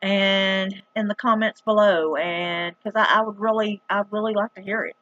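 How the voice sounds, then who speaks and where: A woman's voice: medium pitch, fairly even intonation, moderate pace, fairly bright tone, dull audio. A middle-aged woman with a Southern US accent telling a story to her viewers in a casual, dramatic, emphatic and plain-spoken folksy way. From rural United States. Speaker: vlogger.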